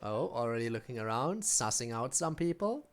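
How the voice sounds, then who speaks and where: A man's voice: medium pitch, very animated, moderate pace, faint music behind. A man giving casual playthrough commentary as a game streamer, musing aloud and reacting as he plays.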